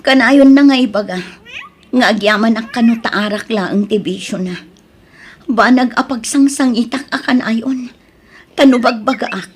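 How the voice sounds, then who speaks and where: A woman's voice: a little high, moderate pace, pauses often, slightly rough. A woman playing a patient but reproachful, devout wife in an audio drama, plain and pleading, with an Ilocano accent. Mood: worried.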